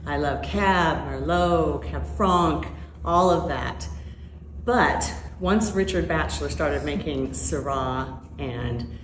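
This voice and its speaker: A woman's voice: low voice, background noise. A middle-aged woman from Hawaii, a winery co-owner, talking enthusiastically to the camera. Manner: enthusiastic, descriptive. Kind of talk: promotional to-camera talk. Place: tasting room at a wooden bar. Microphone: camera a few feet away. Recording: roomy indoor echo.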